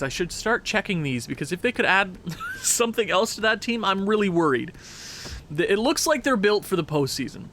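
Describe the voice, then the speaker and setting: A man with a stuffed-up nose giving chatty, excitable commentary over a hockey video game. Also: high-pitched. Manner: chatty, excitable, quick asides. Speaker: gaming commentator.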